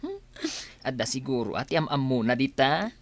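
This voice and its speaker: A woman's voice: rumbling low, very animated, moderate pace. A woman with a Filipino accent acting a frank, nosy best friend in an exclamatory, teasing radio drama style. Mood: curious.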